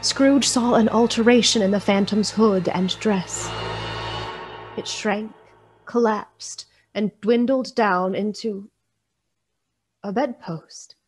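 A woman's voice: mid-range voice, takes it slowly, long pauses, a little mellow, husky. Woman narrating a radio play over music. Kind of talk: dramatic scripted storytelling narration.

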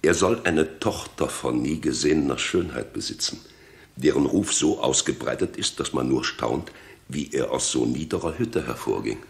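An aging royal counsellor in a sixties radio play. His voice is extremely low and full of creak. He speaks formally and with deference, a loyal, homesick courtier. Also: normal speed. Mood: deferential, grave. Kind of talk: 1960s German radio drama acting.

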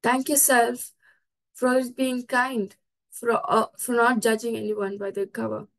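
A woman with a fairly high voice leads a guided meditation routine. She gives short instructions from a room at home, picked up by a device a little way off. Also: little pitch movement, a little slow, creaky, low-quality recording. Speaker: session leader of a meditation routine. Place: small home room with bookshelves, indoors.

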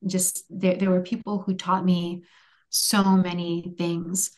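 A woman in her forties whose first language is Hungarian, answering interview questions thoughtfully over a video call from a room at home, with many pauses and restarts. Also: on the low side, little pitch movement, darkish tone. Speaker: author guest.

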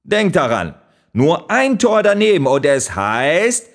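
A man playing a gushing, leering TV game show host in an audio drama, with theatrical, drawn-out showman delivery. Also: fairly high pitch, wildly swooping pitch, full character voice. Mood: bombastic. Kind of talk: German audio drama acting.

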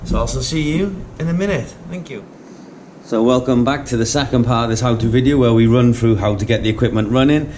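A man's voice: medium pitch, fairly quick, darkish tone, slight background noise. A man in his thirties giving an informal, practical how-to product demonstration in a plain-spoken, explanatory way, recorded indoors on a camera microphone. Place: indoor showroom at a demonstration table.

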